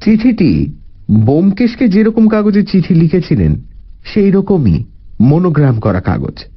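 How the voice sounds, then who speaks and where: A male storyteller narrates a detective tale with theatrical, highly animated intonation. His voice is somewhat husky, and the audio is slightly dull with music behind it. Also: measured pace.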